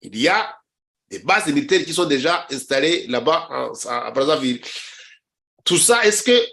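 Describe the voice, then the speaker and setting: A man from Rwanda, middle-aged, hosts a political talk show as a journalist. His voice sits a little higher than most men's. He often halts, repeating words and starting over before pressing on with his commentary. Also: expressive, vocal fry, sharp tone. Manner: halting, with frequent repeated words and restarts.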